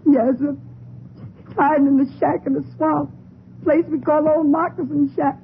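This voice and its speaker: Casting: a fearful, submissive woman, high voice, halting and imploring, old radio serial with a narrow tinny sound. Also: steady tone, a little hiss or hum. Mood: fearful, pleading. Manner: deferential, hesitant, pleading. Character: frightened, pleading woman shielding her baby brother. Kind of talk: 1950s radio drama acting. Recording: phone-like old radio recording.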